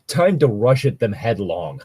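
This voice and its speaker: A man with a fairly low voice talks in a close, dry recording. He rambles along casually and jokily as a co-host, swearing mildly as he goes. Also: sing-song, measured pace, mellow, slightly rough, no echo. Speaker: co-host reading and discussing tabletop gaming stories. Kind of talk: casual chat.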